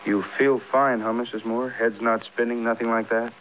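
An actor playing a matter-of-fact police detective with an American accent, giving clipped, businesslike reports in a 1950s radio drama. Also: male voice, mid-range voice, level tone, normal speed, close to an everyday voice. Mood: coaxing. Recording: muffled vintage radio, faint noise.

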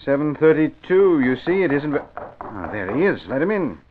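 Suave, animated radio schemer. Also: male voice, a little high, normal speed, slight background noise. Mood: commanding. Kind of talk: old-time radio drama acting. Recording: muffled old radio recording.

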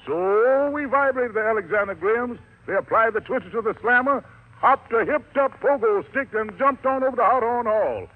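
A man's voice: very high-pitched, expressive, moderate pace. An American man hosting a 1940s radio show in showy, wordy jive patter full of rhymes and slang, on a muffled old broadcast recording.

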